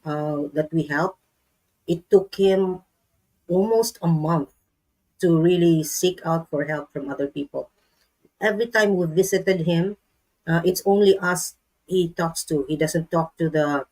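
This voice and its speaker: A woman with a Filipino accent, chatting as a guest in a live-stream interview, laughing often and pausing to search for words. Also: deep voice, a little mellow, poor audio. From Philippines.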